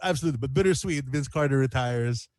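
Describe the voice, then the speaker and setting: A man chatting casually as an interview guest, telling stories in an animated way and repeating phrases as he goes. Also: medium pitch, fast talker. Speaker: guest musician.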